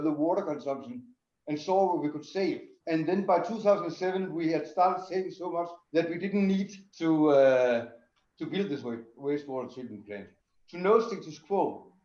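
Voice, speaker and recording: A man's voice: a little high, normal speed, darkish tone. A middle-aged man from Denmark explaining his work as an interview guest. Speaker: interview guest, tannery sustainability director. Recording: roomy, dull lo-fi sound.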